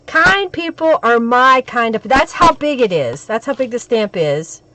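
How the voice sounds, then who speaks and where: Hoarse woman chatting slowly. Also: on the high side, sing-song. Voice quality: crackly. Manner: chatty, talks to viewers while she works. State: hoarse from a cold. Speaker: craft live-stream host. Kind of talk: casual live-stream crafting chat.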